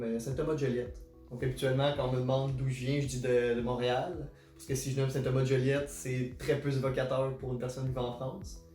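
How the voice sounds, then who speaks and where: Young Québécois man with a flat, mellow voice. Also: mid-range voice, roomy sound. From Quebec, Canada. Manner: earnest, hesitant with many filler sounds. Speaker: psychosocial worker. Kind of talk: self-introduction to camera.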